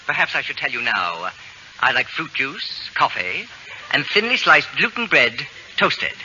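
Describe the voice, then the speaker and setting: An actor playing a pompous, fussy self-styled genius babysitter with haughty, precise, formal diction, in a 1940s radio comedy style. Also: male voice, mid-range voice, wildly swooping pitch, normal speed. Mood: coolly condescending. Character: pompous, fussy, self-declared genius male babysitter who dislikes children. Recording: muffled old radio recording with noise.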